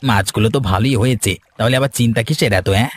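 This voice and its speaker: A man's voice: fairly low pitch, fast talker, natural voice. A man voicing a scheming villager who poses as a helpful friend in a cartoon folk tale, with a sly, overfamiliar, wheedling manner. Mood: overfamiliar, prying. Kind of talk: cartoon fairy-tale dialogue.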